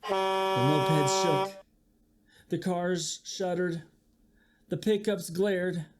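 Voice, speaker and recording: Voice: mid-range voice, heavy background noise. A teacher reading a picture book aloud to young listeners, expressively and with chatty asides. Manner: slow, expressive, with acted-out sound effects. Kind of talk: children's story read-aloud with asides to listeners.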